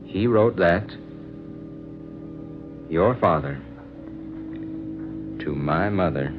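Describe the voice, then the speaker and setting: Earnest, excitable young-love radio husband, swooping pitch. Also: male voice, extremely slow, music in the background, exaggerated voice. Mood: stunned, grave. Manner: theatrical, dramatic. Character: earnest, excitable husband stunned by a family discovery. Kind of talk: 1940s radio drama acting. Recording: dull, husky-sounding 1940s radio recording.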